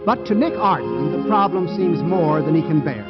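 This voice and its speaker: Muffled old radio, male host, scripted narration, high animated voice, music behind. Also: moderate pace. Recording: muffled 1940s radio broadcast.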